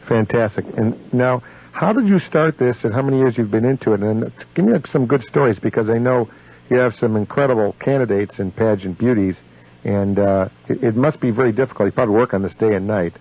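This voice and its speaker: A man's voice: mid-range voice, a little fast. A man hosting a live radio interview in a chatty, effusive and enthusiastic manner. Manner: effusive, full of enthusiastic compliments. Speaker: radio host. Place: radio studio. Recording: dull AM radio broadcast.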